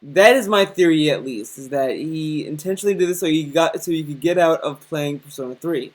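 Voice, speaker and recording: A man's voice: a little high, brisk. A young man with a slightly raised voice rambles through a casual commentary rant. He keeps restarting his sentences and takes a mocking tone toward what he's reacting to. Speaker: commentary channel host.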